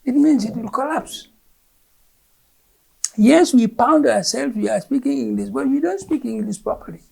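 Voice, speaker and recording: A woman's voice: on the high side, normal speed, creaky, warm tone, breathy edge, low-quality recording. A Gambian woman whose first language is Fula, a curriculum official explaining education policy in an interview in an emphatic manner, repeating words as she searches.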